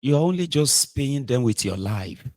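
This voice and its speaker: A Nigerian man in his forties giving an impassioned political monologue, emphatic and rhetorical, jumping between loud outbursts and quiet asides. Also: medium pitch, animated, moderate pace. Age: 40s. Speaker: political commentator.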